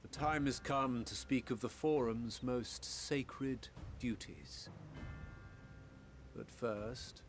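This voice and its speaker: An actor playing a grave, authoritative statesman in a fantasy game, making solemn, measured formal oratory. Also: mid-range voice, noisy background, natural voice. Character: grave, authoritative male elder statesman addressing an assembly.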